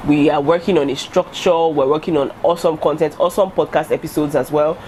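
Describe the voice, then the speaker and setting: A woman's voice: deep voice, fairly even intonation, fast, darkish tone, slight background noise, rough recording. A young woman with a Nigerian accent and Yoruba as her first language, talking candidly to camera in a casual vlog with fillers and restarts. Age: young adult. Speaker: YouTuber. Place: indoor room in front of a striped curtain. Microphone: camera in front at head-and-shoulders framing.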